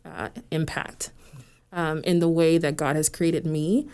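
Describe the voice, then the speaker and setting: Clear, lowish-voiced thoughtful woman. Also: steady tone, measured pace, darkish tone. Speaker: church outreach coordinator, panel guest. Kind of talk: reflective conversation.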